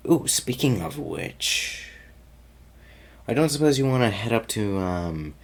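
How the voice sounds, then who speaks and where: A man playing an easygoing, teasing young guy sweet on his friend, talking casually and haltingly in an audio roleplay. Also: on the low side, expressive. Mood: teasing, nervous. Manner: halting and stammering, chuckling, casual slangy speech.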